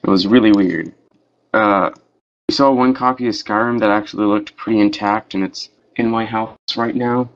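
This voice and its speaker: A man's voice: fairly low pitch, fairly even intonation, normal speed. Laid-back young man on muffled voice chat. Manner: easygoing, slangy, says "dude" and "bro". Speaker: voice chat participant. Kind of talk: casual storytelling over voice chat.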